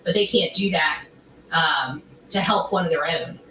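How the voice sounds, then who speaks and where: Low-voiced woman rambling in a roomy space. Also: pushed and bright. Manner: halting, repetitive, emphatic. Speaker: online commentator. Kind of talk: livestream commentary, rambling. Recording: roomy, muffled, faint background noise.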